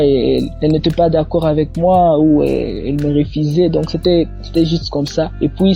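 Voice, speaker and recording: A young man with an eastern Congolese French accent gives a personal religious testimony, haltingly, repeating words as he goes. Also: a little high, dark tone. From eastern Democratic Republic of the Congo. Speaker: church member giving testimony. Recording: muffled lo-fi audio under faint music. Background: faint music.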